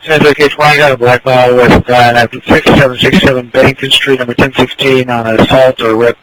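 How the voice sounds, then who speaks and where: A man's voice: medium pitch, flat delivery, quick pace. Noisy police radio, male dispatcher. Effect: police radio transmission.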